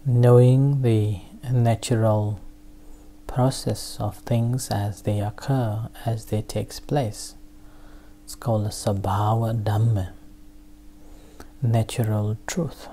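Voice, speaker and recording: Very slow, mellow-voiced meditation teacher. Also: mid-range voice, fairly even intonation, a little hiss or hum. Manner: halting, with long pauses between short phrases. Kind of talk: guided meditation, slow spoken instruction.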